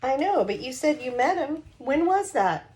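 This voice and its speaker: An insistent teenage girl from a novel, played by a woman reading aloud. She presses with pointed questions, her voice lifted higher and rising as she goes. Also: very animated, moderate pace, a little mellow.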